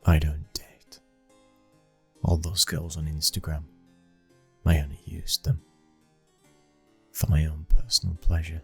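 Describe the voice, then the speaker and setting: A British man playing a brooding, dominant young mafia boss's son in an intimate ASMR roleplay, speaking with slow, commanding control. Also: rumbling low, little pitch movement, creaky, breathy edge, soft background music, exaggerated voice. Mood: guarded, frank.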